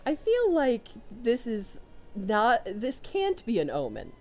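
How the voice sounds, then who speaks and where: A young woman commentating a video game run, loud and excitable, talking to her characters as she plays. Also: a little high, slow pace. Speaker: let's player. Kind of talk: casual gameplay commentary.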